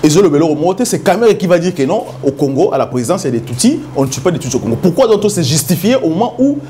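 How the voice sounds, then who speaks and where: Fast, insistent male debate host. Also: fairly high pitch, very animated, mellow, slight background noise. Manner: insistent, interrupting, repeats himself. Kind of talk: political debate in French and Lingala.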